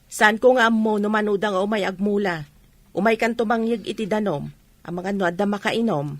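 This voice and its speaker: A woman's voice: mid-range voice, fairly quick, dry recording, lightly acted. Woman acting a scolding, worried village mother, dry sound. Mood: instructing. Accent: Ilocano. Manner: admonishing, emphatic. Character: scolding, worried rural mother of a grown daughter. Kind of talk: radio drama acting.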